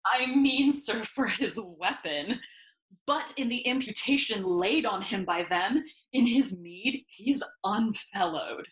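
A woman's voice: mid-range voice, lively intonation, takes it slowly, a bit bright, poor audio. A woman playing a foppish, fawning courtier in a Shakespeare audio play, with gushing, affected, flowery delivery. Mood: flustered flattery. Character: Osric, foppish, fawning, giggly royal courtier.

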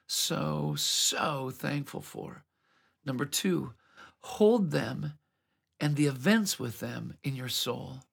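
Guy giving a devotional talk, husky voice, takes his time, earnest. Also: on the high side. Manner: earnest, reflective, unhurried. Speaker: host of a devotional program. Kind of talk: scripted devotional message.

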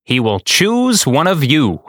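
Storybook train conductor, male reader putting on hearty authority, theatrical calls, big pitch swings. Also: wildly swooping pitch, slow pace, fairly bright tone, dry recording, clean studio sound, full character voice. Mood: assured.

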